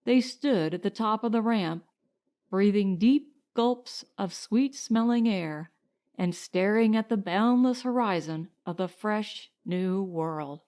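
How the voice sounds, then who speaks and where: A woman narrating a scripted story as an audiobook reader, in a measured, deliberate manner. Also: mid-range voice, a little mellow.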